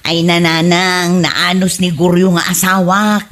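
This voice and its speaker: A woman's voice: on the low side, level tone, a little slow, a bit bright. A woman with an Ilocano accent playing a carefree, gullible wife in a radio comedy drama, breezy and defensive. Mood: brushing off. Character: carefree, gullible wife, fond of lucky charms.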